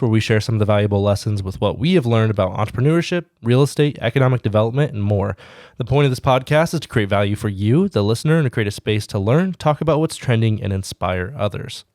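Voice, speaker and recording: A man's voice: mid-range voice, darkish tone, no echo, clean studio sound. A man hosting a podcast, reading an upbeat scripted introduction. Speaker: podcast host. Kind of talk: scripted show intro, then casual chat.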